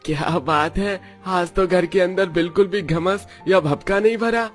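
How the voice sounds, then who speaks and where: A man's voice: sits high, unhurried, soft background music. A man playing a poor, weary labourer husband from a village in a cartoon story, given to grumbling. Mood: pleased.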